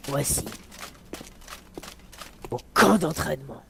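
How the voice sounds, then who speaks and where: A man's voice: on the high side, slow, a bit bright, slight background noise, full character voice. A man playing a bossy, menacing orc trainer in a comic fantasy role-play, curt, vulgar and mocking. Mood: threatening, mocking. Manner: gruff, curt, vulgar orders.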